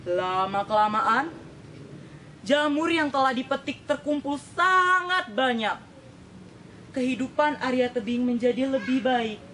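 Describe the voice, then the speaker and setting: Young girl doing storytelling, high voice, careful dramatic phrasing, bit of background noise. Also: a little slow, fairly bright tone, rough recording. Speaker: storytelling contestant. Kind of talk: rehearsed dramatic folktale storytelling.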